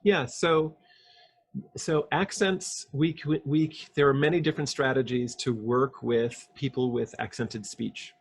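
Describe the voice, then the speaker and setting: A man's voice: medium pitch, steady tone, unhurried, creaky, slightly rough. A man coaching on English pronunciation over a video call, explaining patiently and demonstrating sounds. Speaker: English speech coach being interviewed. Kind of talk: casual video call interview.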